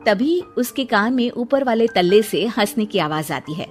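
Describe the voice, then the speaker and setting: A woman's voice: on the high side, animated, normal speed, faint music behind. A woman narrator reading a scripted story in a dramatic storytelling style.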